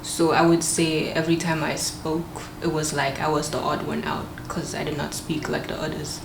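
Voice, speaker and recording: A woman's voice: low-pitched, monotone, normal speed, vocal fry. A young woman raised in Gambia with a Gambian accent, speaking reflectively in a documentary interview. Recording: echoey room with noticeable ambient noise.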